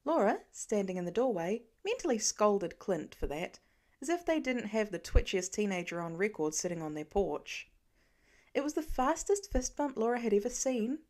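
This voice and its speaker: A woman's voice: medium pitch, darkish tone. A woman reading a story aloud as scripted audiobook narration. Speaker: fan fiction audiobook reader.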